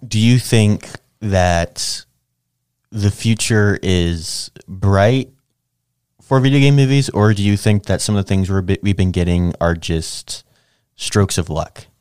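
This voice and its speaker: A man's voice: fairly low pitch, takes it slowly, darkish tone, clear, resonant tone, dry recording, clean studio sound. A man co-hosting a podcast in casual, conversational talk about films. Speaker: podcast co-host. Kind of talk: casual film discussion chat.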